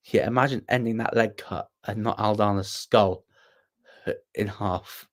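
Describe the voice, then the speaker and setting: A man's voice: fairly low pitch, fairly even intonation, a little slow. A young man hosting a casual livestream, rambling and self-deprecating as he answers viewers with plenty of ums and restarts. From Wales. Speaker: livestream host.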